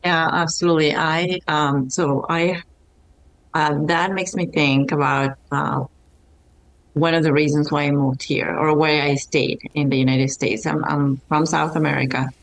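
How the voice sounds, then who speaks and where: A woman's voice: low voice, little pitch movement, unhurried, dry recording, poor audio. A woman from Argentina, speaking earnestly as a panel guest over a video call from home. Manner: earnest, with fillers. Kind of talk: live panel discussion. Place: home room.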